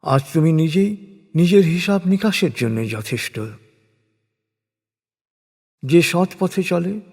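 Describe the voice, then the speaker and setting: A man reads scripted religious prose as a narrator, solemn and formal, with measured, declamatory phrasing. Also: fairly high pitch, darkish tone. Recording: long reverberant hall-like echo.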